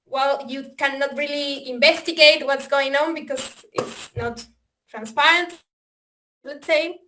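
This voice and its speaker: A woman's voice: sits high, steady tone, measured pace, a bit bright, slight background noise. A woman with an Argentinian accent gives a webinar presentation, speaking hesitantly with many fillers and restarts. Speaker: software developer presenting. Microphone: video call.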